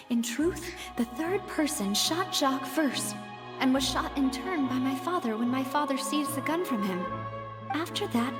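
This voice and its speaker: A determined young accuser in a video game. Her voice is high, and she argues with earnest, articulate firmness. Music is clearly audible behind her. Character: determined young woman accusing a man in court. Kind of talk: scripted game dialogue.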